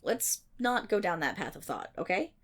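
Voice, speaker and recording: A woman's voice: on the low side, big pitch swings, normal speed, fairly bright tone. A woman playing a chatty, irreverent nerdy best friend, rambling wryly and sarcastically in a casual ASMR storytelling roleplay. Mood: wry, playful. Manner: rambling, wry, sarcastic, theatrical asides.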